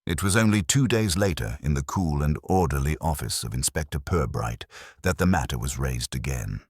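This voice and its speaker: A man narrating a scripted mystery story in a dry, droll manner with deliberate phrasing. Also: very deep voice, lively intonation, slightly rough, no room sound.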